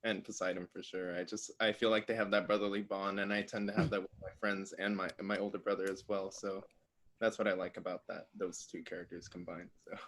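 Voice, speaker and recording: A man's voice: low voice, flat delivery, a little fast, fairly bright tone. A man chatting informally as a cast member in a Q&A. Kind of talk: casual cast Q&A chat.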